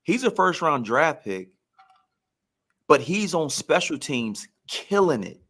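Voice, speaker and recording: A man's voice: fairly high pitch, sing-song, slow, a bit bright, slightly rough. A man in casual sports talk, telling stories like a former player and repeating phrases for emphasis. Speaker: former pro football player, guest storyteller.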